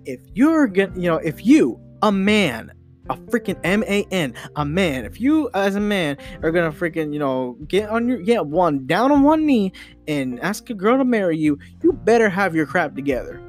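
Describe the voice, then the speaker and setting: High-voiced young man rambling over guitar music. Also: very animated. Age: young adult. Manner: halting, repeating and restarting phrases, emphatic, mildly sweary. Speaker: podcast host. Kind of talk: rambling, opinionated podcast monologue.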